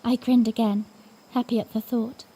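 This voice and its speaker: A woman's voice: on the high side, little pitch movement, moderate pace, warm tone, breathy edge. A woman playing a bashful young princess, telling her own story tenderly and earnestly in a fan-made audio drama. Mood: happy, recounting.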